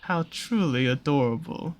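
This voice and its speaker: A performer voicing a seductive predatory naga with a soft spot for cute creatures, speaking in a teasing, coaxing way in a roleplay audio drama. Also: mid-range voice, natural voice. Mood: gentle, amused. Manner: slow, teasing, coaxing, with pet names and drawn-out pauses. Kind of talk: scripted roleplay audio drama addressed to the listener.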